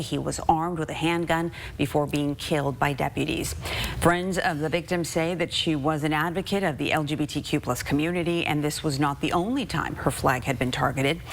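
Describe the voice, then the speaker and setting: An American woman with a notably low voice reads the news from a script as a TV anchor. There is faint noise behind her. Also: fairly quick.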